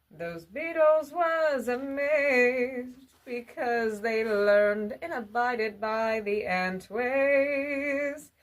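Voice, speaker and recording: A woman telling a children's bedtime story in a drawn-out, singsong delivery. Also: on the high side.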